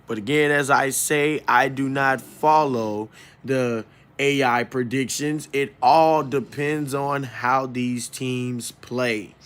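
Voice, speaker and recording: A man hosting an informal sports game preview, speaking haltingly and often correcting himself. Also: mid-range voice, steady tone, fairly bright tone, smooth. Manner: halting, stumbling delivery with self-corrections.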